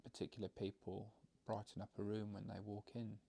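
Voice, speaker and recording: A man leading a guided meditation, explaining it gently in halting, unscripted phrases. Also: on the low side, completely flat delivery, gravelly, husky. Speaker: meditation teacher.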